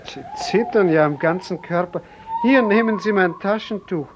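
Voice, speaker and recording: An actor playing a solicitous shopkeeper who sells dreams, attentive and instructing, in a 1950s German radio play. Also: male voice, sits high, normal speed, close to an everyday voice. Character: solicitous dream seller guiding a customer through his wares. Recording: muffled, very noisy 1950s radio recording.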